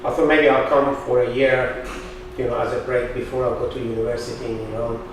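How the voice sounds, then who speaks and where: Male, 50s, Iranian accent, native Persian speaker, reflective documentary interviewee; heavy room echo and clear background noise. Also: medium pitch, flat delivery, brisk. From Iran. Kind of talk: reflective conversational recollection. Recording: distant mic, very echoey room, clear noise.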